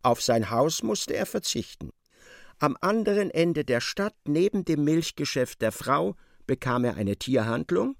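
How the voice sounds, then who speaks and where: A man giving expressive literary narration in a radio drama, as a storyteller. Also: a little high, unhurried, gravelly, no room sound, studio quality, exaggerated voice.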